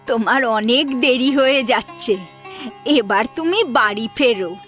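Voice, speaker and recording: A woman playing a kindly, devout elderly grandmother with a slow, tender manner in an audio drama. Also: on the high side, fairly bright tone, soft background music. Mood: gently concerned. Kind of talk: Bengali audio drama acting.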